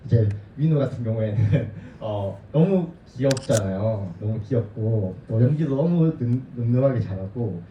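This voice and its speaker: A man, a film director, answering audience questions at a screening, speaking softly and thoughtfully. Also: medium pitch, expressive, moderate pace, few pauses, a little hiss or hum. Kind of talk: festival Q&A answer. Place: cinema auditorium. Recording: dull, roomy, distant hall sound.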